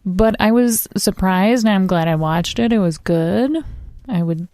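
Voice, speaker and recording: A woman's voice: mid-range voice, unhurried, dark tone, no echo. A woman chatting candidly as a guest on a casual podcast.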